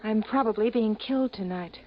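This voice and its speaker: A woman playing a sad, fatalistically calm young woman in a 1950s radio drama, weary and candid. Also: fairly high pitch, a little fast, close to an everyday voice. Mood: listless, resigned. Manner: weary, candid, matter-of-fact. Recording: muffled, hissy old radio broadcast.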